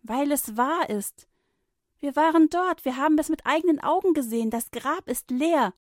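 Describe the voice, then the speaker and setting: A woman voicing excited women who emphatically report news in a high voice. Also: moderate pace, no echo, clean studio sound.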